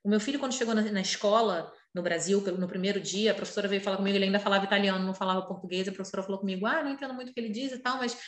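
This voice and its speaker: A woman's voice: mid-range voice, steady tone, racing, hardly any pauses, reverberant. A middle-aged Brazilian woman telling personal stories openly and expressively in a casual conversation. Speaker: interview guest.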